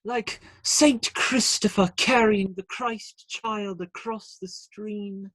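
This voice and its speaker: A man's voice: high voice, fairly even intonation, takes it slowly, a bit bright, no echo, exaggerated voice, poor audio. A man acting in a radio play as a cheeky, teasing outlaw given to playful, theatrical banter.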